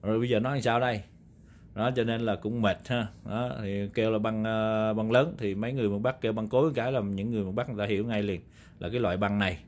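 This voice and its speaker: A man's voice: fairly low pitch, steady tone, fast, a little mellow, a little hiss or hum. A man with a Southern Vietnamese accent giving a casual, unscripted review as a video host, explaining in a rambling, conversational way with repeated confirming tags. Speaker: video host reviewing vintage audio gear. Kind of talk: casual, unscripted product talk. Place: indoor room, demonstrating equipment on a table.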